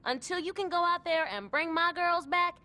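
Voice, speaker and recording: An actress playing a tough, foul-mouthed brothel madam with a brassy, threatening, slangy manner in a game cutscene. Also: female voice, very high voice, moderate pace, bright, forceful tone. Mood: dismissive, bitter. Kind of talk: video game cutscene acting.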